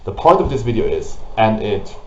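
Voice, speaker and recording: A man with a German accent, whose first language is German, hosting a gaming channel and ranting slowly and haltingly about it to camera. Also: fairly low pitch, sing-song, slight background noise. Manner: halting, with restarts and pauses. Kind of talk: frustrated rant to camera. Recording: roomy, dull lo-fi audio.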